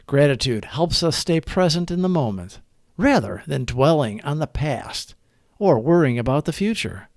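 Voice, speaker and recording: A man narrates scripted devotional readings in an earnest, deliberate way. His voice sits a little higher than average and moves widely up and down in pitch. The sound is very dry, with no room around it. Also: a little mellow, no echo. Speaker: narrator of recovery meditation readings. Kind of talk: scripted devotional read-aloud.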